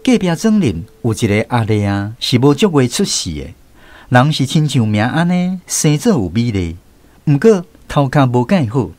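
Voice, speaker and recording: A man's voice: medium pitch, very animated, moderate pace, a little mellow. A man with a Taiwanese accent narrating a scripted first-person story for a radio drama.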